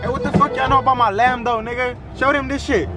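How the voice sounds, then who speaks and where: A man's voice: very high voice, fairly even intonation, quick pace. A young man casually showing off to the camera, boisterous, slangy and profane. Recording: loud music over the voice.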